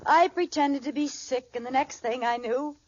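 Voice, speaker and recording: An actress playing a scheming, melodramatic housewife in a 1940s radio sitcom, theatrical and plaintive, on an old recording. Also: female voice, sits high, moderate pace, darkish tone. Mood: grave, confiding. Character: scheming, melodramatic housewife feigning a dramatic illness. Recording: muffled, very noisy old radio recording, audience.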